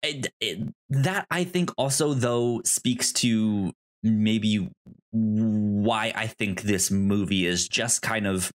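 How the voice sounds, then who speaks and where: A man hosting a podcast in a casual discussion, speaking hesitantly and often stammering over his words. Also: on the low side. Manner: hesitant, stammering, halting delivery. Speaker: podcast host.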